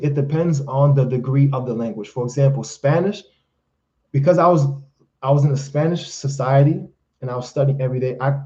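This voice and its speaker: Creole-native guy coaching men on a live stream, preachy and punchy, warm darkish voice, kinda dull lo-fi audio. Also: medium pitch. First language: Haitian Creole. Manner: emphatic and preachy, calls listeners fellas and brother. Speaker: host and life coach. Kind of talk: live-stream Q&A, motivational advice.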